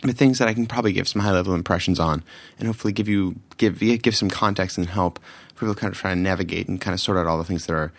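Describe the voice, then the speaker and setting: A man hosting a solo tech podcast, his voice slightly raspy from yelling, rambling and often correcting himself. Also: low-pitched, fast, no room sound. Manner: rambling, self-correcting, many fillers. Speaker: podcast host.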